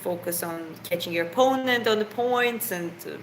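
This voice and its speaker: A woman's voice: lowish voice, moderate pace, echoey room, a little hiss or hum, poor audio. Chatty woman co-hosting a live Q&A. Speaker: live stream co-host. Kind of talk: casual Q&A chat.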